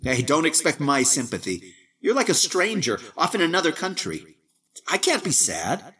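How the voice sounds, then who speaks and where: A man voicing a cocky young man of twenty, mocking and lively in his talk. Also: a little high, normal speed, slightly rough, far from the mic, voice pushed up, full character voice. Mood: mocking cool.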